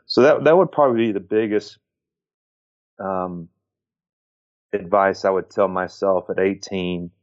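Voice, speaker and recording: Guy in his late 40s, kinda low voice, earnest, restarts his sentences a lot, dull lo-fi sound. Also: measured pace, long pauses. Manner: earnest, repeats and restarts phrases, lists points. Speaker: former pro baseball pitcher, guest. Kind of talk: reflective conversational advice.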